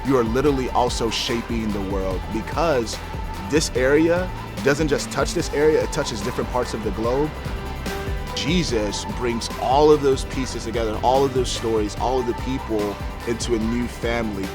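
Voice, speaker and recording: Guy talking like a pastor in a church video, confident, music bed clear underneath. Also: medium pitch, normal speed. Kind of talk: documentary interview.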